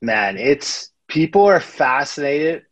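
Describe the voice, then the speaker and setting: A man's voice: a little high, sing-song, slow pace, no echo. A man joining a casual podcast as a guest, speaking in an easygoing, laid-back way.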